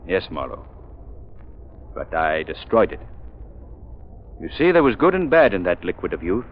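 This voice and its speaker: Muffled 1930s radio recording, assured gentleman sleuth, low voice, grave and composed. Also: very animated, moderate pace. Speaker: lead actor in radio mystery serial. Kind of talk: 1930s radio drama acting.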